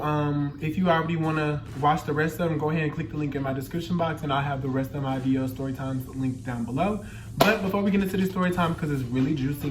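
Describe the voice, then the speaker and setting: A man's voice: fairly high pitch, very noisy. A man in his twenties with a Louisiana Southern accent tells a story in casual, slangy chat, with a feminine, flamboyant delivery and repeated phrases for emphasis. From Louisiana, United States. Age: 20s. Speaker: YouTube storyteller. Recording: roomy echo, music behind the voice.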